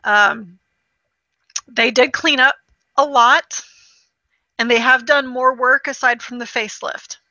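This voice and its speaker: A woman talks casually about video games in a fairly high, bright voice. She tells stories animatedly, acting out quotes and swearing freely. The recording is close and dry, a little lo-fi. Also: slow, long pauses, dry recording. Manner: animated storytelling with acted-out quotes. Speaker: podcast host.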